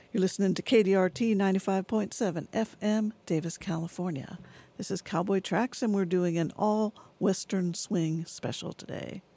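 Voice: mid-range voice, dark tone. A radio DJ presenting songs and reading announcements between tracks in a studio. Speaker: radio DJ and host.

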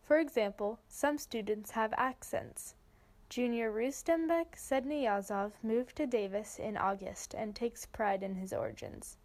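A teenage girl reads a school news story in a clear, measured voice. She sits a little higher in pitch than most. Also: a little mellow. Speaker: student news reporter. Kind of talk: scripted news narration.

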